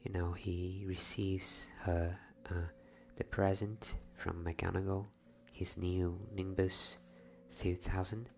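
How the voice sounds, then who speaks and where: A man hosting a soft-spoken ASMR bedtime story reading, hushed and often drifting toward a whisper. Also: low voice, flat delivery, takes it slowly, gravelly, slight background noise.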